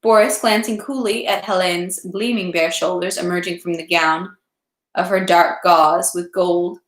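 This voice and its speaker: A woman's voice: fairly low pitch, steady tone, measured pace, reverberant. A woman reading a classic novel aloud in an unpolished amateur way, with occasional stumbles. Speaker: reader of a read-aloud series. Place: indoors at home, echoey room.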